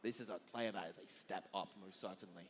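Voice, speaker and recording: A man giving measured, analytical and agreeable live commentary at a fighting game tournament. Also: low voice, a little hiss or hum. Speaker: esports commentator. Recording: music behind the commentary.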